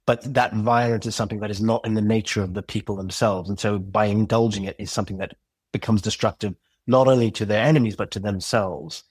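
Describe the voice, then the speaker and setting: A man's voice: on the low side, steady tone, fast, husky, no room sound. A man who grew up in Zimbabwe, a podcast guest talking thoughtfully and searching for words, often restarting and checking "you know what I mean". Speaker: podcast guest, audio drama creator. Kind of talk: reflective interview conversation.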